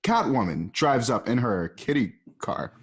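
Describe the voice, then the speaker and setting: A man's voice: mid-range voice, big pitch swings, measured pace, breathy edge. A man reading the stage directions of a home-recorded radio play in a plain, matter-of-fact way. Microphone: home microphone.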